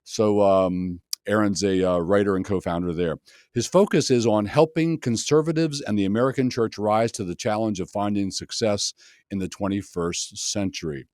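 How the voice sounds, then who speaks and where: Male pastor-host, low voice, chatty and friendly, dry close sound. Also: normal speed, darkish tone, no room sound. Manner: friendly, chatty, with small jokes. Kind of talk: hosting an interview podcast.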